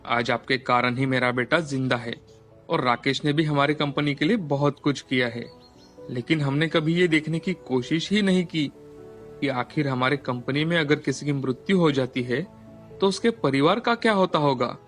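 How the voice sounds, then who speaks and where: A man's voice: fairly high pitch, moderate pace, a bit bright, faint music behind, lightly acted. An actor playing a humble, earnest man in a moral story, speaking politely and pleadingly. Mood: apologetic, grateful. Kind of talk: Hindi moral-story voice acting.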